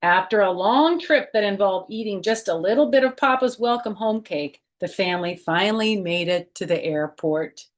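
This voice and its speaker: A woman's voice: mid-range voice, normal speed, hardly any pauses. A middle-aged woman reading a picture book expressively to children, adding chatty asides, recorded at home. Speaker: children's storytime reader. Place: small furnished room at home.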